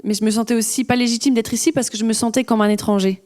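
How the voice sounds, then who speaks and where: Female live interpreter at a church service, relaxed delivery with filler hesitations. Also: fairly high pitch, fast talker.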